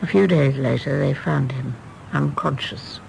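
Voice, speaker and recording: Creaky-voiced, rueful genteel English widow. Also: female voice, extremely low pitch, measured pace, darkish tone, a little hiss or hum, full character voice, rough recording. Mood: regretful, weary. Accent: English Received Pronunciation. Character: weary, self-reproachful genteel widow confessing regrets. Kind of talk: British radio drama acting.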